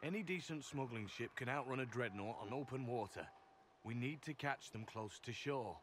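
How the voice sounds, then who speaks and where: An actor voicing a composed, earnest male agent in a fantasy video game, speaking in a measured, matter-of-fact way. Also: medium pitch, animated, fairly bright tone, close to an everyday voice. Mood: businesslike. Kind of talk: video game dialogue acting. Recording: clear background ambience noise.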